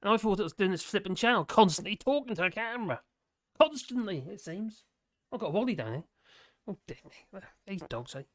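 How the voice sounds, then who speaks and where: Hoarse streamer chatting live, meandering and self-deprecating, mid-pitched, dry close sound. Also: expressive, brisk, no echo. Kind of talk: casual live-stream chat.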